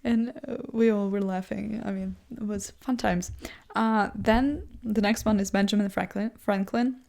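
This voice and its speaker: A young woman with a first language other than English, chatting casually and self-deprecatingly as she thinks out loud, full of fillers and restarts. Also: mid-range voice, steady tone, normal speed, creaky. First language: not English. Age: young adult. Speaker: vlogger. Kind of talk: casual rambling monologue. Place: seated indoors in a chair.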